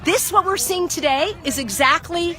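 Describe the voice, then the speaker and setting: A woman's voice: very high voice, moderate pace, music in the background. A woman vlogging outdoors at a market into her phone, speaking with passion and lecturing, often repeating herself. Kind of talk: outdoor phone vlog, heated argument. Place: outdoor market parking lot. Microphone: phone held by the speaker.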